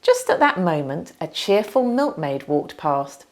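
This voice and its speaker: A woman reading a children's storybook aloud as narrator, with dramatic, deliberate emphasis. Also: on the low side, big pitch swings.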